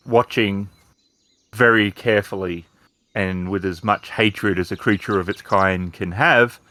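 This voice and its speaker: A man narrating a tabletop roleplaying game as game master, building scenes in deliberate, suspenseful phrases. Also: fairly low pitch, a bit bright, no room sound.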